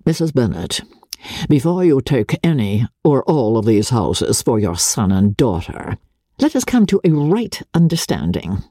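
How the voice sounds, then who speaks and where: A woman's voice: very deep voice, big pitch swings, mellow, breathy edge, no room sound, heavily acted. A woman voicing a stern, dry middle-aged husband who speaks firmly and formally in a novel reading. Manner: firm, formal, deliberate.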